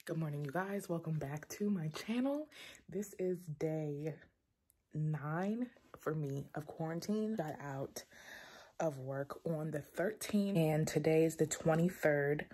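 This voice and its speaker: A woman's voice: deep voice, slow pace, dark tone, breathy edge, no echo. A young adult woman chatting casually to her vlog audience in an informal, rambling, slangy way. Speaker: vlogger, graduate student. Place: at home and inside a parked or moving car. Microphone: phone at arm's length or mounted.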